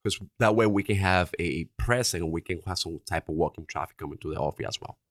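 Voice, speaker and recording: Earnest Dominican-accented man, deep voice. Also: brisk, no echo, clean studio sound. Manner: earnest, repeats "right?" often. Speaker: insurance agency owner. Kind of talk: podcast interview.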